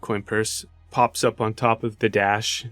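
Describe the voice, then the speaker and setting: A man's voice: on the low side, little pitch movement, a little slow, a bit bright, soft background music. A man playing a tabletop game, chatting in a casual, joking and wry way. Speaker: player in a tabletop game podcast.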